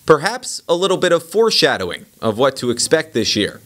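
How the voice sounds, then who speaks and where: A man's voice: on the high side, very animated, brisk, a bit bright. A man reporting a local news story in scripted, upbeat narration.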